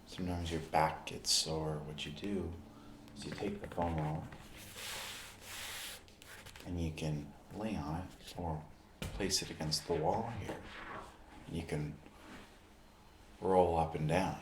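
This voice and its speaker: A low-pitched man speaks slowly in short, deliberate phrases, playing a friendly amateur athlete in an ASMR roleplay. He sounds like he is demonstrating things step by step. The room adds noticeable echo. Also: fairly even intonation. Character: Joe, friendly amateur basketball player and recovery expert. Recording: roomy echo, clear background noise.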